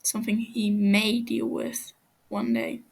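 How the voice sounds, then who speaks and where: A woman reading a fan fiction story aloud in a hesitant, halting narration. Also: on the high side, flat delivery, creaky, slightly rough.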